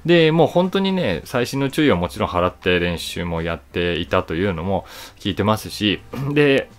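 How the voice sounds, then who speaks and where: Low-voiced man with lively, swinging intonation. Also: moderate pace. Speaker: voice actor and YouTube host. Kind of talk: casual solo commentary to camera.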